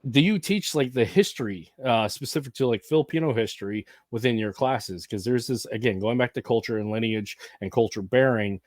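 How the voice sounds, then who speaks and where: A man's voice: mid-range voice, a little fast, hardly any pauses, a little mellow, dry recording, clean studio sound. A man hosting a casual podcast conversation, talking emphatically and with strong opinions. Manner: emphatic, opinionated, frequent backchannel murmurs. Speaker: podcast host.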